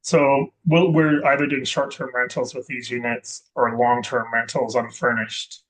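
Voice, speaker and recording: A man from Scotland, a guest investor chatting in an interview, rambling and correcting himself with many pauses and restarts. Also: medium pitch, flat delivery, fairly bright tone, poor audio. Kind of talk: casual interview chat.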